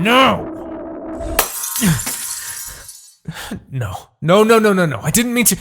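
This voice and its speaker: A man playing an arrogant, possessive demon king in a husky, theatrical ASMR fantasy roleplay. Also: fairly high pitch, fairly bright tone, exaggerated voice. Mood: panicked, angry. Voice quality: husky, gravelly. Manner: theatrical, deliberate, swinging from murmurs to outbursts. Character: arrogant, possessive male demon king, obsessed with captive hero. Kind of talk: ASMR roleplay, dramatic monologue.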